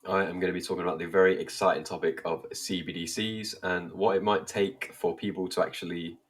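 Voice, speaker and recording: Deep-voiced man with a cold. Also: steady tone, brisk. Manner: easygoing, rambling. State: has a cold. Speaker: podcast co-host.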